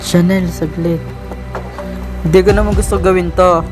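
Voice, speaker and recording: A man's voice: high voice, steady tone, normal speed, over music, natural voice. A young man acting as a polite friend who secretly plots revenge, in an amateur student radio drama. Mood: nervous. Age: young adult.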